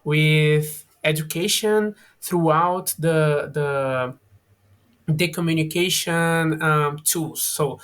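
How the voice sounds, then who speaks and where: A young man in his twenties speaks English with a Brazilian Portuguese accent. His voice sits a bit higher than most men's, and he talks slowly and haltingly, stopping often to find his words. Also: fairly bright tone. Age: 20s. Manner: hesitant, searches for English words with many fillers. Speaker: guest, education student. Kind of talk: casual podcast interview.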